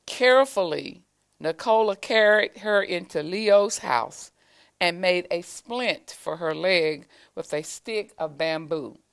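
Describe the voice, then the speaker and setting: A woman reading a children's storybook aloud, with deliberate, expressive phrasing, as school staff do for young listeners. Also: lowish voice, vocal fry, clean tone. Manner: deliberate, expressive phrasing with pauses to show pictures.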